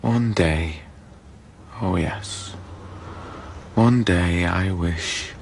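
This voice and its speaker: A drowsy man murmuring to himself in a radio play. His voice is low and drags very slowly, as if half-asleep. Faint noise sits in the background. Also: heavily acted. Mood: dreamy, wistful. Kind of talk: radio drama verse.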